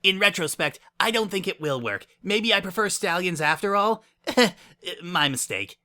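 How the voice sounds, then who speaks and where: A man's voice: high voice, lively intonation, unhurried, sharp tone, pitched above the performer's own voice, full character voice. A man voicing a flustered, anxious young female pony with a hesitant, awkward manner in a dramatic story reading. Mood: panicked.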